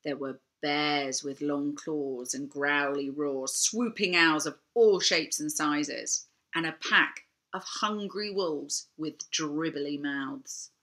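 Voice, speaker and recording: An older woman reading a children's picture book aloud, theatrically, with dramatic pauses and emphasis. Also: low-pitched, a bit bright. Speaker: storybook reader.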